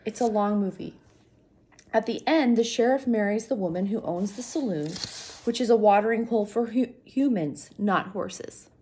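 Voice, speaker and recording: A woman's voice: medium pitch, moderate pace, darkish tone, slight background noise. A woman reading a children's novel aloud expressively, as a teacher does for students, speaking directly to young listeners.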